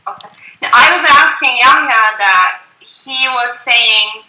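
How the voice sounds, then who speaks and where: Woman from Turkey, halting question, bright slightly high voice, echoey room on a call. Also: expressive. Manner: hesitant, halting, restarts often. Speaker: audience member asking a question. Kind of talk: online debate question.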